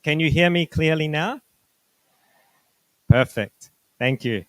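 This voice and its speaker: A middle-aged man from New Zealand with a New Zealand accent, a university director giving an informational presentation to students in a polite, measured, explanatory way. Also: a little high, a bit bright, no room sound.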